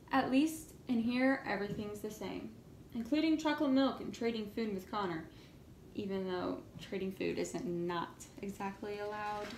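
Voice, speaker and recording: A woman reading as an earnest, indignant young schoolchild in a storybook, with expressive emphasis. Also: medium pitch. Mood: put out. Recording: echoey room, faint background noise.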